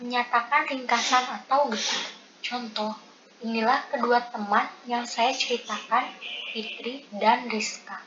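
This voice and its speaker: A woman's voice: on the high side, steady tone, a little slow. A young woman reading out presentation material item by item as a student presenter. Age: young adult. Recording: dull lo-fi audio with loud noise.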